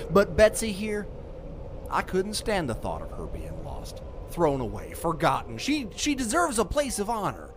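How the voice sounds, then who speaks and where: An actor playing a kindly, rambling survivor in an audio drama, stammering and repeating himself in a folksy way. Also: male voice, sits high, noisy background, heavily acted. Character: gruff but kindly rambling male crash survivor.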